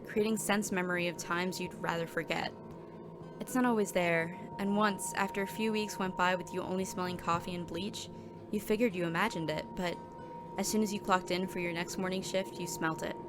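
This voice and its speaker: A woman's voice: fairly low pitch, close to an everyday voice. A woman playing a weary, downtrodden coffee shop worker in a scripted audio drama. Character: weary, downtrodden barista narrating their own workday in second person. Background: music.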